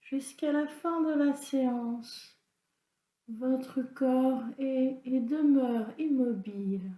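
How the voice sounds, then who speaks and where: A woman leading a guided yoga nidra relaxation as an instructor, in a calm, soothing, instructional manner. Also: sits high, very slow. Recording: roomy, echoing sound.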